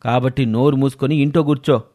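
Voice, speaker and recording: Man playing a gruff, stern story father. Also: medium pitch, fairly even intonation, fast, mellow, lightly acted. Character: stern, scornful, old-fashioned middle-aged father.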